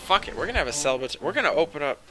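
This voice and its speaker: A man's voice: a little high, big pitch swings, rapid-fire, over music, low-quality recording. Rambling, sarcastic guy commenting on a game.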